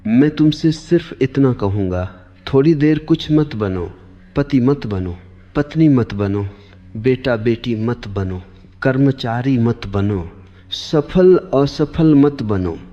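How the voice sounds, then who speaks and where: A man giving a meditative spiritual discourse for sleep, deliberate, in short measured phrases that often repeat. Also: medium pitch, expressive. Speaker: spiritual teacher. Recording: long hall reverb, dull lo-fi sound. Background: clear background music.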